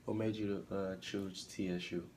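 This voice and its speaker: A man's voice: low voice, little pitch movement, measured pace, a little mellow, slight background noise. A man acting as a student interviewer, asking short plain questions for an oral history project.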